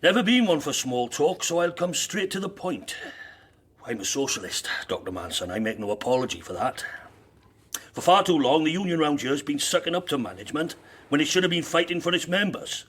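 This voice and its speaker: Husky, forthright union man. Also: a little high, very animated, brisk, fairly bright tone, exaggerated voice. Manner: forthright, rhetorical, persuasive. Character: blunt, fervent socialist Welsh miners' union man. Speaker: actor in radio drama. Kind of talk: radio drama acting.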